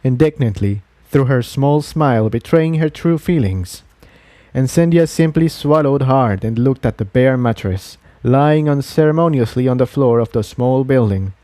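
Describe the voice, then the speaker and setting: A male audiobook narrator with a mellow, relaxed voice at medium pitch. He reads scripted fiction, and the sound is close and very dry. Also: moderate pace, no echo.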